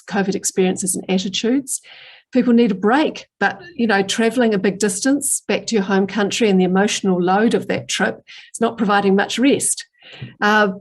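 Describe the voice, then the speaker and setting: Woman host with a slightly husky voice, steady moderator for a panel chat. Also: mid-range voice.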